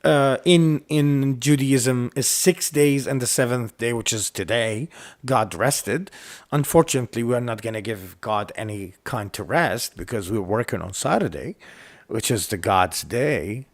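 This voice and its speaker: A man's voice: medium pitch, measured pace. A man from Iraq whose first language is Arabic, speaking English with an Arabic accent, co-hosting a casual chat with many fillers and restarts. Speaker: podcast co-host. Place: lives in the United States.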